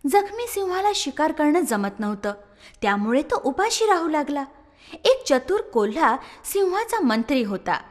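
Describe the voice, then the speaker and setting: A woman narrating a children's moral story in an expressive, sing-song storytelling manner. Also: sits high, moderate pace. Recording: long reverb.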